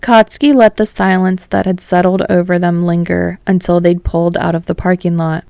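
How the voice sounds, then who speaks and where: A woman's voice: on the low side, little pitch movement, moderate pace, gravelly, warm tone, dry recording, studio quality. A woman reading scripted fiction aloud as a narrator.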